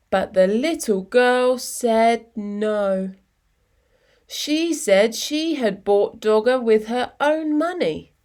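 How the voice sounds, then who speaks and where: A woman reading a children's story expressively, with measured phrasing and dramatic pauses. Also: on the high side, a little mellow.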